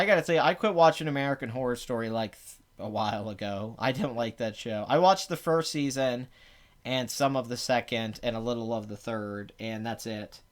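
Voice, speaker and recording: A man with a raspy, phlegmy voice and a clogged throat, hosting a casual, rambling box office talk with blunt, sarcastic asides. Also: medium pitch. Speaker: box office show host. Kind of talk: casual live commentary.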